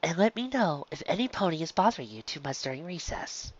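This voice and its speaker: A woman voicing a kindly pony schoolteacher, patient and concerned, with a gentle, measured manner in a story reading. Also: low voice, big pitch swings, lo-fi sound, pitched above the performer's own voice. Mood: reassuring.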